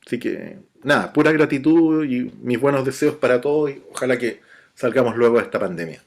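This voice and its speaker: A middle-aged Chilean man talks about his work in an easygoing interview. He speaks at a medium pitch, loosely and conversationally. He often restarts his sentences and stops to think. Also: a little fast, gravelly. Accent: Chilean Spanish. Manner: relaxed, chatty, with frequent restarts and light laughs. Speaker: novelist being interviewed. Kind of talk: casual interview talk.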